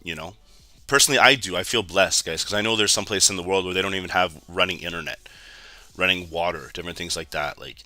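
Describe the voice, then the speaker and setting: A man from Canada with a raspy, hoarse voice, giving casual live commentary on a trading stream and talking straight to his viewers. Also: deep voice, quick pace, bright, forceful tone, dry recording, faint music behind. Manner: chatty, keeps addressing viewers as guys.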